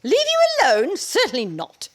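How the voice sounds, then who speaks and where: Lady doing a bossy scornful old battle-axe mom for a radio play, high voice, swoops all over. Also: wildly swooping pitch, normal speed, fairly bright tone, heavily acted. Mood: scornful, wrathful. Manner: imperious, scornful. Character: domineering, wrathful older mother-in-law dragon.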